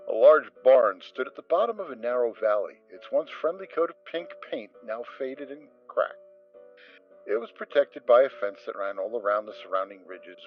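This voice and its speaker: A man with a mid-range voice narrating a story in a steady, expressive way. The audio is a bit muffled. Soft music plays underneath. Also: medium pitch. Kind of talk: dramatic reading of fan fiction.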